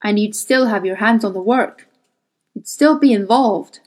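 A woman's voice: mid-range voice, a little slow, lightly acted. A woman reading the part of a pushy, defensive male scientist who argues insistently. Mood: pressing. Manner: pressing, argumentative.

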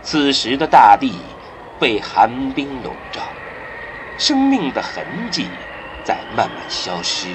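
A man narrating a science-fiction radio drama in a solemn storytelling manner, with dramatic pauses. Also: mid-range voice, wildly swooping pitch, noisy background.

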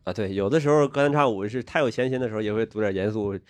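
A man's voice: lowish voice, racing. A man from Northeast China co-hosting a podcast, joking at his own expense and chuckling through his words. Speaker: podcast co-host. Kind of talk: casual chat.

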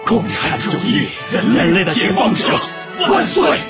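A man's voice: sits high, big pitch swings, measured pace, music in the background. A man acting in a Chinese historical radio drama as an eager revolutionary delegate. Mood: jubilant. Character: eager male revolutionary delegate cheering agreement.

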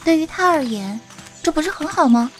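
A woman's voice: high-pitched, lively intonation, a little fast, soft background music. A woman playing an earnest young female adventurer who bosses her companion in an audio drama. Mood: wistful. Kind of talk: Chinese audio drama acting.

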